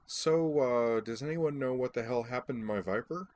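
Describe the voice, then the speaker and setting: A man's voice: medium pitch, moderate pace, a little mellow, pitched above the performer's own voice, lightly acted. Man playing a dry, level-headed woman pilot. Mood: puzzled.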